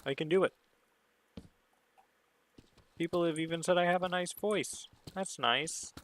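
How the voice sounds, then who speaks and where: A man with a somewhat deep voice chatting casually to himself as he streams a game, rambling and musing. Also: unhurried, fairly bright tone, rough recording. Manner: rambling, self-musing talk. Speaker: gaming livestreamer. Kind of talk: casual solo gaming stream chat.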